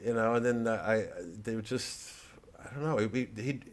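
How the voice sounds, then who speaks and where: A middle-aged American man, a comedian, talking casually in a halting, digressive monologue full of repeats and asides. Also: medium pitch, steady tone. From United States. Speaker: stand-up comedian and satirist. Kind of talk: rambling casual monologue to an audience of fans.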